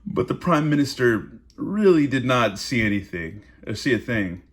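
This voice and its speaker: A man's voice: medium pitch, big pitch swings, moderate pace. A man reading a story aloud in a casual, amused way, breaking off into informal asides.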